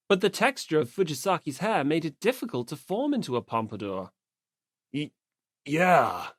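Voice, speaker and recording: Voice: medium pitch, dry recording, clean studio sound. An audiobook narrator reading scripted story narration.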